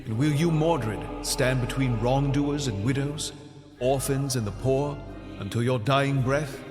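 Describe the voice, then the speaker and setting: A man acts out a regal king of legend in an audio drama. His mid-pitched voice is commanding yet kindly, with measured, stately phrasing and music playing behind him. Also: close to an everyday voice. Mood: solemn.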